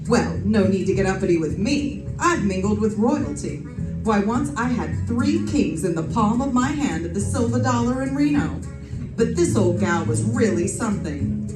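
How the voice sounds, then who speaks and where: A woman's voice: mid-range voice, lively intonation, moderate pace, lightly acted. An actress playing a wisecracking young woman private detective in an old-time radio drama, with wry, breezy delivery. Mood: wry narrating. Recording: roomy distant stage recording with music.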